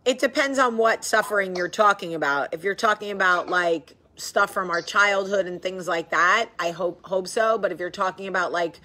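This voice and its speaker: A woman's voice: medium pitch, moderate pace, few pauses, bright, forceful tone. A middle-aged woman hosting a casual live video chat, candid and chatty, with the odd casual swear. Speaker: host.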